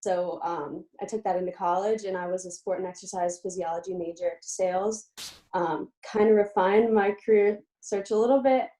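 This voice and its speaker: A young woman from Pennsylvania speaks as a guest on an interview show, her voice mellow and warm at a middling pitch. She talks eagerly and chattily, often pausing on "um" as she goes. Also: steady tone, low-quality recording. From Lebanon, Pennsylvania, USA. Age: young adult. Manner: eager, chatty, with frequent "um" fillers. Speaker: guest, college student-athlete. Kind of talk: casual interview.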